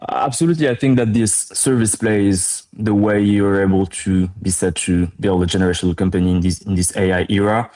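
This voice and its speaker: Low-pitched man from Morocco, even delivery. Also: a little fast, few pauses. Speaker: startup co-founder being interviewed. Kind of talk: conversational business pitch.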